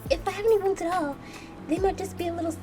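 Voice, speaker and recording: Woman putting on a high, timid anime heroine. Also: fast talker, over music. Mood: meek. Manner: hesitant, polite, easily flustered. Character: timid, sweet, clumsy young anime heroine. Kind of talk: visual novel character voicing.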